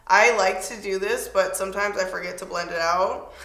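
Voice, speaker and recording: A young woman chatting casually through a makeup tutorial, her voice a little hoarse and squeaky, playful and talkative as she works. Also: steady tone, moderate pace, fairly bright tone, echoey room. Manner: chatty, playful, talks while applying makeup. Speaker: beauty vlogger. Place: home studio, pink backdrop.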